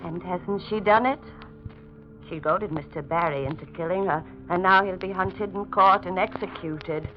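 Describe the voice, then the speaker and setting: An actress playing a bitter, spiteful middle-aged housekeeper, sinister and insinuating, in a 1940s radio mystery. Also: female voice, fairly low pitch, normal speed, soft background music, natural voice. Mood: bitter, gloating. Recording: dull old radio recording.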